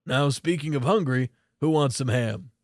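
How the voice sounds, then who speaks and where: A man voicing a kindly old grandfather in a storybook reading, with relaxed, folksy reassurance. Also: mid-range voice, normal speed, no echo, studio quality, natural voice.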